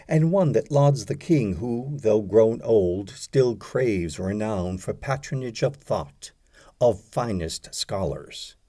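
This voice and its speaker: A man reading poetry for an audiobook in an expressive, deliberate way, setting phrases apart with pauses. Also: fairly low pitch. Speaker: audiobook narrator, poetry reader.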